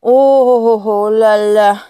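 A woman doing a swooning animal gentleman. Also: fairly high pitch, fairly even intonation, takes it slowly, smooth, dry recording, voice pushed up. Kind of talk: audiobook character voice.

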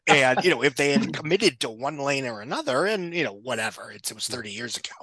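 A man's voice: mid-range voice, lively intonation, quick pace, bright, forceful tone, no room sound, rough recording. A middle-aged man giving casual, opinionated film commentary in wordy, analytical phrasing.

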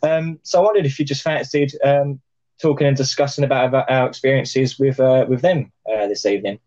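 A man hosting a podcast over a video call, chatty and joking with lots of fillers, his voice a little croaky. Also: medium pitch, steady tone, fairly quick, darkish tone, highs cut off. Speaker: podcast host. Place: plant room.

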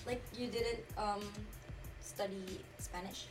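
A woman's voice: medium pitch, steady tone, slow, far from the mic, music in the background. A nineteen-year-old woman from the Philippines, co-hosting a podcast in a peppy, giggly casual chat. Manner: peppy, giggly, upspeak-prone. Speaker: podcast co-host.

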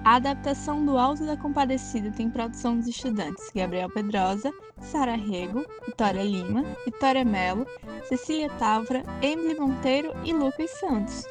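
A woman's voice: on the high side, normal speed, over music. A woman announcer reading scripted credits for a radio drama.

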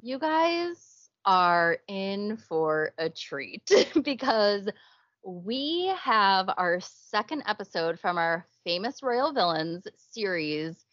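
A woman's voice: medium pitch, big pitch swings, takes it slowly, a bit bright, no echo, muffled recording. A young woman co-hosting a podcast in casual chat, chatty and laughing often. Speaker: podcast co-host.

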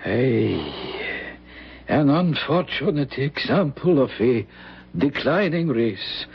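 An actor playing a gentle, grave older priest in an old-time radio drama, measured and fatherly. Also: mid-range voice, sing-song, creaky, slightly rough, exaggerated voice. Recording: dull, muffled vintage radio recording.

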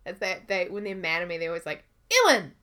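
A woman's voice: on the low side, steady tone, fast, pushed and bright. A woman co-hosting a podcast in casual chat, laughing often and acting out what people say. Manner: giggly, acts out quoted voices. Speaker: podcast co-host.

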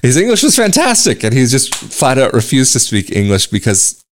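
A man's voice: mid-range voice, huge pitch swings, normal speed, a little hiss or hum. A man from the United States talking casually to camera as an expat vlogger, rambling a little with restarts and asides. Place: home in Portugal.

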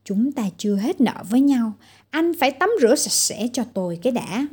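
A woman's voice: a little high, expressive, brisk, warm tone, husky. A woman voicing a kind young wandering man in a fairy tale, plain and resolute. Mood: firm.

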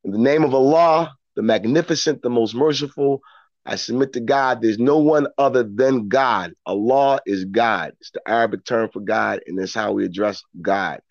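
A man's voice: medium pitch, moderate pace, no room sound. Man talking earnestly, slightly muffled dry recording. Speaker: podcast guest, storyteller. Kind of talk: casual reflective conversation.

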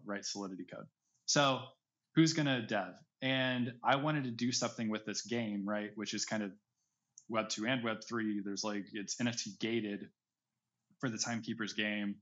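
A man's voice: fairly low pitch, fairly bright tone. Man in casual interview, slightly dull audio. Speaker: podcast guest, audio drama creator.

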